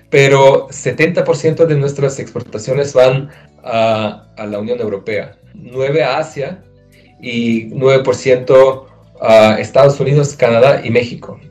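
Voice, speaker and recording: A man's voice: medium pitch, vocal fry. A man in his forties from Austria, a native German speaker with an Austrian German accent, giving interview answers about his work in hesitant Spanish full of fillers and restarts. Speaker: trade commissioner guest.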